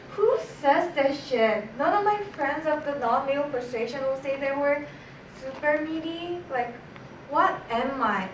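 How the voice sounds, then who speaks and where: Actress doing an exasperated girlfriend ranting in a stage monologue, high voice, echoey and distant. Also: fairly bright tone. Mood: indignant. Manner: conversational, indignant, dramatic storytelling with outbursts. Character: exasperated, jilted woman venting about her crude boyfriend. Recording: echoey stage, distant mic, background noise.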